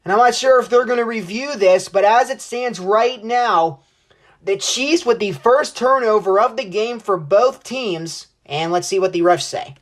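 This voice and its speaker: A man's voice: high-pitched, unhurried. Casting: young adult male sportscaster, football play-by-play, describing the action as it unfolds. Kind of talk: American football play-by-play commentary.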